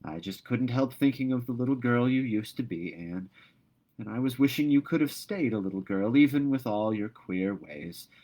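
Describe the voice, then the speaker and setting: A reserved, wistful older woman in a storybook, as a man reads her aloud. The speech is plain and halting with feeling, lifted a little above the reader's low natural voice. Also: animated, dark tone.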